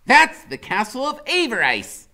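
A man's voice: very high-pitched, huge pitch swings, unhurried, bright, forceful tone, pitched above the performer's own voice, heavily acted. A man voicing a small, imaginative boy who talks in grand, old-fashioned knightly phrases. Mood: grandiose.

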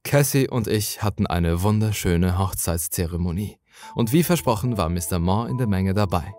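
A man's voice: sits low, moderate pace, darkish tone. A man reading a scripted first-person horror story as its narrator.

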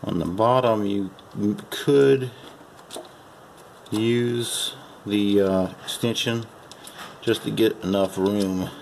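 A man's voice: fairly low pitch, fairly even intonation, slow pace, creaky, a little mellow, slight background noise. A man talking casually through a hands-on repair job as he works, in an unscripted, folksy how-to style. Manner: casual, folksy instruction, calling parts "her". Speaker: amateur mechanic giving a repair demonstration. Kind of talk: unscripted how-to narration while working. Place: at a car's open engine bay.

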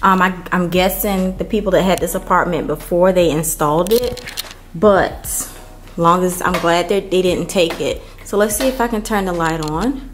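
A woman vlogger giving a casual, unhurried walkthrough of an empty apartment, filmed on a handheld camera. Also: on the low side, a little hiss or hum. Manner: unhurried, chatty, many ums. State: hot and worn out from moving.

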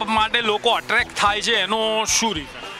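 A man with a high voice runs a casual street interview and fires off playful questions. He holds a handheld mic outdoors on a city street. Music plays under the whole recording. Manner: quick teasing questions.

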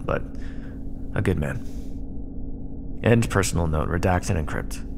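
A man playing a sardonic, battered starship first officer dictating a log in an audio roleplay, wry and exasperated, with muttered asides. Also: fairly low pitch. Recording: clear background noise under the voice.

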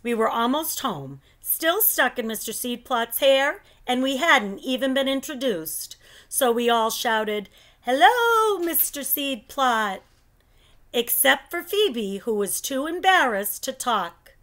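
A middle-aged woman reads a children's story aloud. Her voice sits fairly high and moves with lively, expressive swings. She takes her time, telling it deliberately as a teacher would. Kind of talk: expressive children's picture book read-aloud. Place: living room at home.

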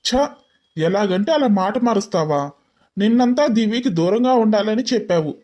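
A man plays a young businessman in an audio story. He is irritable, scolding and quick to taunt. His speech is sprinkled with English words. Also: high-pitched, animated, darkish tone. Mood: taunting, accusing. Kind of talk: dramatised story dialogue.

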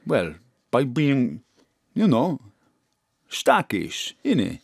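A man's voice: mid-range voice, huge pitch swings, extremely slow, vocal fry, a little mellow, husky, dry recording, clean studio sound, full character voice. Wildly swooping, clownish man. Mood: excited, bashful. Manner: broken English, frequent tag words. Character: excitable, smitten, clownish Greek man with broken English. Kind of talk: comic audio drama acting.

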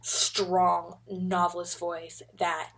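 A woman's voice: fairly low pitch, completely flat delivery, very slow, gravelly, a bit bright, breathy edge. A young woman in her twenties chatting to a webcam in her bedroom, rambling and gesturing as she talks. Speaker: vlogger. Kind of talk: casual chat to camera. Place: bedroom, indoors. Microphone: webcam at desk.

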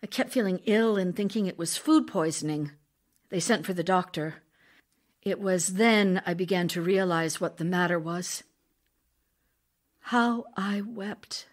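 A woman's voice: lowish voice, unhurried. A woman playing an ailing, lonely actress wife in an audio drama of love letters, speaking in a theatrical, confiding way. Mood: grieving.

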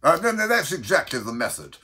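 Guy doing bossy old-tale bellman, loud n impatient, bright forceful voice, swoops all over. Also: medium pitch, sing-song, takes it slowly, slightly rough, voice pushed up, full character voice. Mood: indignant. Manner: loud, indignant interrupting. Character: bossy, excitable, impatient male ship's bellman.

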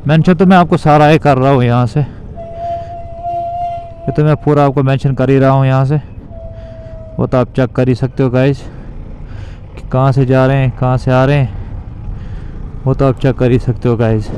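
A man's voice: medium pitch, fairly even intonation, moderate pace, long pauses, a little mellow. A young man vlogging casually while riding a motorbike through city traffic, talking loosely and repetitively to his viewers. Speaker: moto vlogger.

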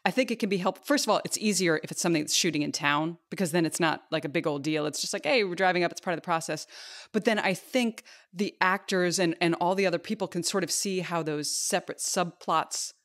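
A woman's voice: on the low side, quick pace, a bit bright. A middle-aged woman in casual podcast conversation, explaining her points with imagined quotes, close on a microphone at home. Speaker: podcast co-host and actor. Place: home kitchen. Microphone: close podcast microphone, headphones.

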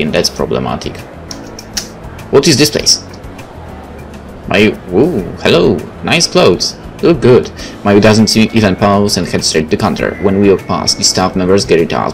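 A man's voice: low-pitched, vocal fry. A man, a native Polish speaker, hosting a game let's play, reading aloud and commenting casually. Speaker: gaming video host. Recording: faint game music behind.

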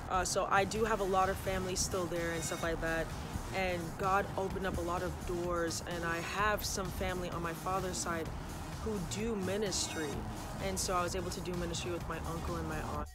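A woman's voice: on the low side, brisk. A young woman from Trinidad and Tobago, raised in the United States, talking casually to camera outdoors about her faith, gesturing as she speaks. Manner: animated, talks with her hands, says y'all. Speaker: vlogger. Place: outdoors on an open concrete rooftop. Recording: music playing clearly behind.

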